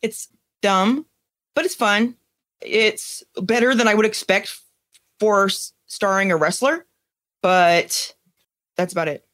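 A woman's voice: medium pitch, takes it slowly, long pauses, fairly bright tone. A woman co-hosting a TV review podcast, chatting casually. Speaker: podcast co-host reviewing TV series. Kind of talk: casual chat.

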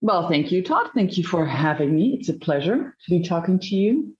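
A woman from Belgium with a Dutch accent, a journalist giving animated, emphatic answers as an interview guest over dull, lo-fi remote audio. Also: on the low side. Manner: animated, emphatic storytelling with drawn-out phrases.

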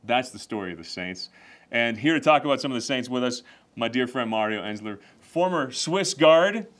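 A man hosting a faith talk show, speaking with an emphatic, preaching tone and a lively, animated delivery. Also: medium pitch, moderate pace. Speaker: show host.

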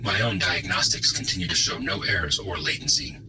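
Man playing a polite low-voiced base computer, noisy background. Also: close to an everyday voice. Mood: calm, dutiful. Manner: formal, courteous, measured. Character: polite, formal male AI of an undersea base. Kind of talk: science fiction audio drama.

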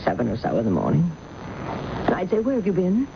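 An actress playing a worldly, composed show-business manager in a 1970s radio drama, knowing and conversational. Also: female voice, lowish voice, huge pitch swings, quick pace, creaky, exaggerated voice. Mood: confiding. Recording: muffled, noisy old radio recording.

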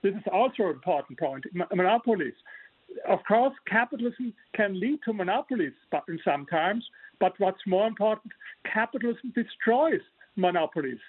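A man's voice: high-pitched, animated, moderate pace, slightly rough, dry recording. German-accented man on a tinny line. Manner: earnest, explanatory, frequent restarts. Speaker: author guest. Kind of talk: remote interview.

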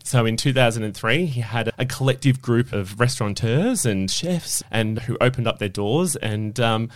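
A man's voice: medium pitch, normal speed, no echo, clean studio sound. A man explaining his fundraising work earnestly on a podcast. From Australia. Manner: earnest, explanatory, starts with "so look". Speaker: guest, fundraising manager. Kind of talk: podcast interview.

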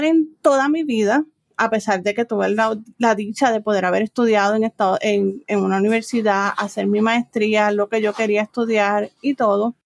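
Gravelly-edged Puerto Rican woman, middle-aged. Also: medium pitch, dry recording. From Puerto Rico. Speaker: interview guest, business owner and trainer. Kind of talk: conversational interview answers.

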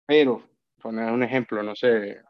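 A man's voice: mid-range voice, steady tone, moderate pace, dry recording, highs cut off. A man from Colombia with a Colombian Spanish accent, chatting as a podcast guest in a reflective way, often restarting and repeating words. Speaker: guest, account manager. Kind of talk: casual podcast conversation.

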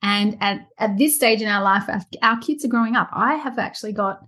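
A woman's voice: medium pitch, brisk, a bit bright. A woman, hosting a casual interview podcast in an enthusiastic, chatty way. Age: 40s. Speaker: podcast host.

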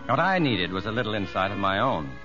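An actor playing an earnest, rueful prison psychologist in a 1950s radio drama, speaking and narrating in a measured, educated way. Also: male voice, fairly low pitch, very animated. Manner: measured, educated, gently self-deprecating. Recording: muffled old radio broadcast with music.

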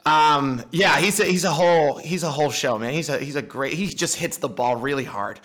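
A man from the Dominican Republic, a software founder guesting on a podcast, chatting casually with lots of laughter and repeated words. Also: on the high side, brisk.

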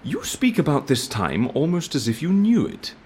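A man playing a brisk, inquisitive and wry boss of hired toughs in an audio drama, teasing and animated. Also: a little high, a little hiss or hum. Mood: curious, teasing.

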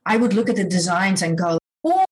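A woman's voice: medium pitch, sing-song, brisk, darkish tone. Earnest Dutch-born woman guest. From Netherlands. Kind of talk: casual interview chat.

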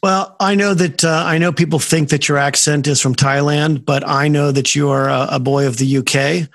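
Male podcast host, 50s, gravelly, chatty and rambling, dry close sound. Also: on the high side, steady tone, moderate pace, fairly bright tone, no room sound. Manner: friendly, rambling with many fillers and restarts. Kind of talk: casual interview chat. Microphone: video call.

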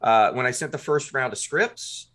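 A man's voice: medium pitch, lively intonation, normal speed, a bit bright. A man being interviewed as a podcast writer and producer, talking casually and colloquially in short bursts.

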